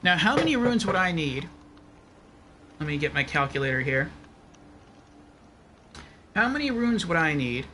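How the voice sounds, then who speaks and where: Slow-paced, bright-voiced male game streamer. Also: on the high side, slight background noise. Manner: thinks aloud, counting and reasoning step by step. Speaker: video game livestreamer. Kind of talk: casual gameplay commentary.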